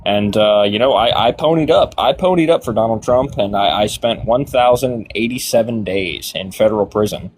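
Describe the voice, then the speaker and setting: A man's voice: on the low side, moderate pace, hardly any pauses, slightly rough, dry recording. A man arguing brashly and with a lot of swearing in an online debate panel. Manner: brash, profane, boastful.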